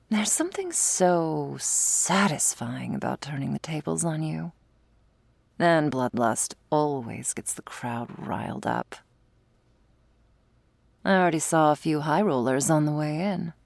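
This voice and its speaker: Woman voicing a female vampire, low pitch, husky and breathy, smug, predatory, taunting, ASMR roleplay, close dry sound. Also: darkish tone, dry recording, full character voice. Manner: teasing, drawling, breathy asides.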